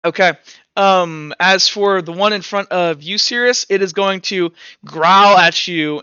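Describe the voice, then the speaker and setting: A man with a high, crisp voice runs a tabletop game as its game master. He narrates informally and haltingly, with some animation. The recording is dry and slightly dull. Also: bright, forceful tone, no room sound.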